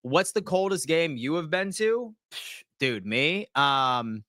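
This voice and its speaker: A man, hosting a casual sports livestream and answering viewers' comments in slangy, animated talk. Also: a little high, takes it slowly, no room sound, studio quality. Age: 30s. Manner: slangy, animated, reads chat aloud and answers.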